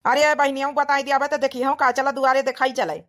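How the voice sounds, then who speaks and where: A woman's voice: high voice, fairly even intonation, fast talker, fairly bright tone. A woman voicing a devoted, protective young village man in a cartoon comedy, with an Awadhi accent and teasing, boastful manner. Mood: teasing, proud.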